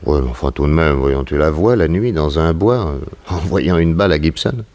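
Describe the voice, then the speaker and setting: Deep, commanding radio detective. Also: male voice, expressive, a little mellow. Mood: sceptical, probing. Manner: brisk, probing, authoritative. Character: commanding, sceptical male detective questioning suspects. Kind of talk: 1960s French radio crime drama acting.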